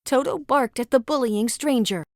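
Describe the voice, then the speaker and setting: A woman narrating a read-along children's storybook with expressive, dramatic storytelling. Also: high-pitched, moderate pace, no room sound, studio quality.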